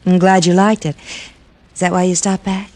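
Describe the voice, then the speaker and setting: An actress playing a cool, coy American carnival dancer in old radio detective drama style, guarded and teasing. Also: female voice, on the low side, flat delivery, normal speed, darkish tone, natural voice. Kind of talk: 1940s-50s radio detective acting. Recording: old radio recording, faint music.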